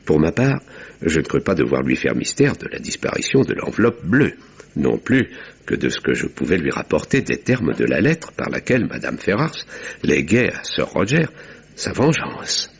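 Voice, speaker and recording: An actor playing a courteous, formal village doctor who narrates and talks in a radio mystery with literary theatrical delivery. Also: male voice, very deep voice, sing-song, moderate pace, vocal fry, slightly rough, highs cut off, heavily acted. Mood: earnest recounting.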